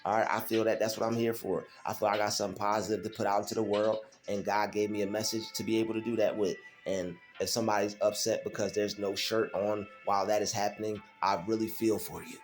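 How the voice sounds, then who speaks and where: A man's voice: lowish voice, flat delivery, quick pace, hardly any pauses, faint music behind. A man hosting his own faith vlog alone at home, talking casually and emphatically, repeating phrases and using many fillers. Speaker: channel host.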